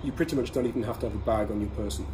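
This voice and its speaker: A man's voice: on the low side, racing. Man chatting over noticeable background noise. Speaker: podcast host reviewing everyday carry products. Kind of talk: casual product review monologue. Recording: clearly audible ambient background noise.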